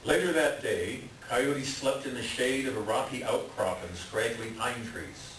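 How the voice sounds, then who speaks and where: A man's voice: medium pitch, unhurried, fairly bright tone. A man reading scripted storytelling narration as the narrator of a readers theater piece. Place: library room at a live reading. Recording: very echoey room, distant mic, faint noise.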